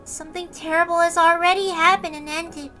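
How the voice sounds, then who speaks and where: A woman's voice: very high voice, steady tone, fairly quick, bright, forceful tone, soft background music, voice pushed up. A woman voicing a grave, cool-headed young girl in a visual novel story, answering in a knowing way. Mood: grim, matter-of-fact.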